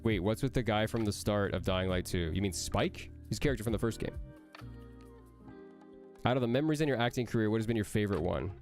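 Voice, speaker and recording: A man's voice: fairly low pitch, fast talker, darkish tone, soft background music. A young man, a voice actor, reading out and answering chat questions on a livestream, with a nasal voice that sounds like he has a cold, playful and joking.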